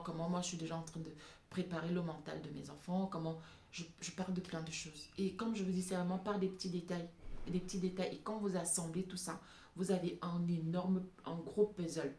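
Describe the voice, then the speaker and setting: A woman's voice: on the low side, mellow. Emphatic woman in an echoey room. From francophone Africa. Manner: emphatic, repeats phrases for stress. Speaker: vlogger addressing African women. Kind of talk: impassioned off-the-cuff opinion monologue. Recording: echoey room with background noise.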